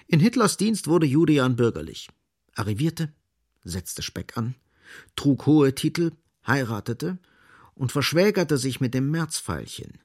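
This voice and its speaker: An actor as the sardonic narrator of a radio play, delivering literary prose in a grave, emphatic, ironic way. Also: male voice, mid-range voice, darkish tone, slightly rough, heavily acted.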